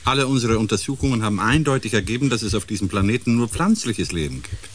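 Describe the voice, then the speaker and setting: A man playing a rational expedition scientist in a radio play, speaking in a measured, formal and polite way. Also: on the low side, animated, a little hiss or hum, close to an everyday voice. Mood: sceptical, firm.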